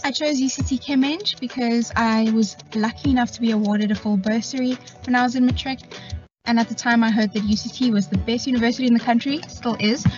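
Brief: young woman, lively, phone in a car, faint music under. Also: fairly high pitch, brisk, highs cut off. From South Africa. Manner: bright, laughing often. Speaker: recent graduate. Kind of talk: testimonial to camera. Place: in a parked car.

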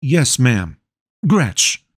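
A man's voice: mid-range voice, sing-song, drawn-out words, slightly rough, dry recording, studio quality, pitched above the performer's own voice, full character voice. A man voicing a polite, earnest teenage boy.

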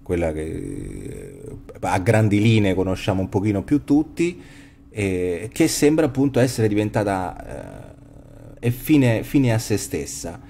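A man in his forties talks through a headset from home. His voice is very low and mellow, and he thinks aloud, circling back and restarting his phrases. A faint hiss sits under the call. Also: sing-song, a little slow, creaky. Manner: reflective, restarts often, trails off. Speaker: podcast host. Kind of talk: casual philosophical chat. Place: home room, video call.

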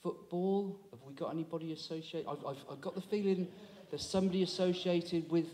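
A man's voice: on the high side, fairly even intonation, brisk, warm tone. A man in his sixties working as compere, teasing the audience in a chatty stand-up crowd-work style on a small town hall stage. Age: 60s. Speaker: comedy compere. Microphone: handheld microphone.

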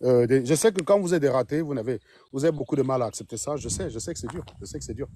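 A man's voice: mid-range voice, very fast, dark tone, a little hiss or hum. A middle-aged man, hosting a live stream outdoors on his phone, mocking and insistent. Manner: combative, mocking, insistent questioning. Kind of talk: casual livestream chat. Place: outdoors on a sunny street. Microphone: phone at arm's length.

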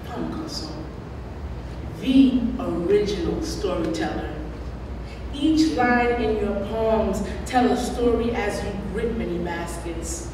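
Slow-speaking woman poet in an echoey hall. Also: medium pitch, animated. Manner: dramatic, deliberate delivery with emphatic pauses. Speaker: spoken-word poet. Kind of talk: live poetry slam recitation. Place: large room at a college, on stage at a podium. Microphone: microphones on stands at a podium. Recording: echoey hall, distant mic, background noise.

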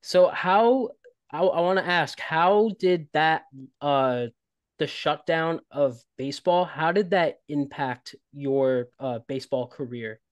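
Male podcast host, slightly high, smooth voice, halting questions. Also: no echo, highs cut off. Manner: hesitant, stops to rephrase himself. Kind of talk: casual interview questions.

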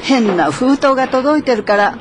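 A woman's voice: high voice, animated, moderate pace. Teasing frank woman, radio drama, voice swings a lot, noisy recording. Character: frank, teasing adult woman, former classmate. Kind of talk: naturalistic radio drama acting.

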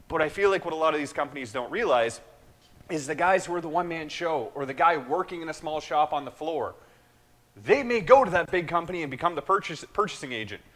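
Animated man talking in a machine shop. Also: on the high side. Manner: animated, gestures broadly while talking. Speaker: show host. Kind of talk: casual shop talk and product walkthrough. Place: machine shop floor, large indoor space.

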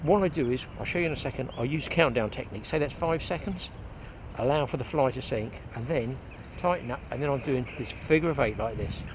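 Older male fishing instructor, mid-pitched, chatty, thinks aloud as he demonstrates; clip-on mic outdoors with wind and ambience noise. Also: fairly quick. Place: outdoors on a lakeside bank. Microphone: clip-on mic outdoors, some wind.

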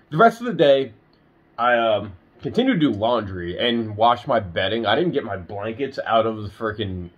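Young guy chatting in his bedroom, lots of um and you know, kinda stuffy nose, muffled phone audio. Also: on the low side. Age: young adult. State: a little nasal, recovering from illness. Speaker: vlogger. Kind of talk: casual daily update vlog. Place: bedroom indoors. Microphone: phone camera at arm's length.

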